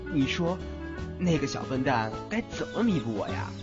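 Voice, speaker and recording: A man's voice: medium pitch, big pitch swings, normal speed, natural voice. A man playing an affectionate, boastful ghost keeper in an audio drama, with a playful, coaxing manner. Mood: playful boasting. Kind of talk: Chinese audio drama acting. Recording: dull lo-fi audio under music.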